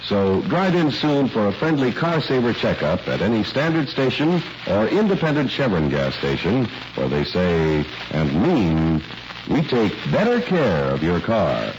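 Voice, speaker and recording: Old time radio announcer guy, deep voice, polished punchy delivery that swoops around, muffled sound. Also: huge pitch swings, unhurried, noisy background. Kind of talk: 1940s radio announcing and sponsor pitch.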